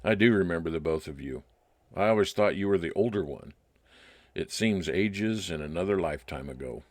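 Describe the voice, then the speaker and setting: A man playing a wry, nostalgic private detective, narrating his case deliberately in old time radio style. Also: deep voice, animated, no room sound. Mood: friendly, inquiring. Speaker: narrator and lead actor.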